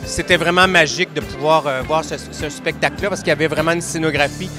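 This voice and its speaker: Interviewee: man, rapid casual delivery, slightly high, middle-aged. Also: steady tone. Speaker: interviewed music fan. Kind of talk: casual reminiscence. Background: music.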